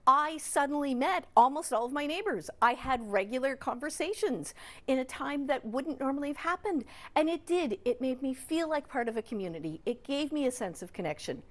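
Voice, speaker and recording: Casting: woman, Canadian accent, notably high voice, chatty expert guest who explains through animated everyday examples. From Newfoundland and Labrador, Canada. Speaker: psychologist, regular TV guest expert. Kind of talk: conversational interview explanation.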